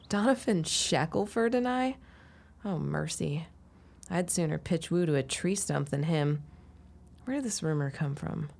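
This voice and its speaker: A stubborn, quick-tongued young woman of a period radio drama. Her voice sits on the low side with plenty of fry, and her lines come out dry and sardonic. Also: a little mellow, exaggerated voice. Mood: exasperated, sardonic. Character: wry, stubborn young shopkeeper's daughter and out-of-work teacher. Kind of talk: period radio-style audio drama acting.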